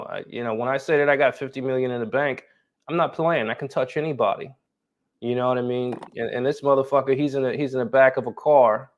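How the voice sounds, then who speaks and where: Male interview host, American accent, average pitch. Conversational, boastful, foul-mouthed. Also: highs cut off. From North Philadelphia and Brooklyn, United States. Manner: boastful, profane. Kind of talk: casual conversation.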